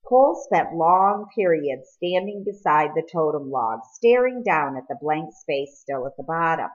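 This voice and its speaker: Woman narrating an audiobook, lowish voice, dull lo-fi sound. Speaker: audiobook reader. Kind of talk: scripted storybook narration, read aloud.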